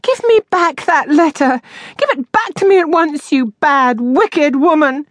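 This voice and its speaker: A stout lady lodger fallen on hard times. She is theatrical, indignant and sorry for herself, speaking in a high, swooping storybook voice with a very dry sound. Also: animated, unhurried, dry recording. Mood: shrill outrage. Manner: theatrical, exclamatory. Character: stout down-on-her-luck lady lodger, indignant, fluttery, self-pitying.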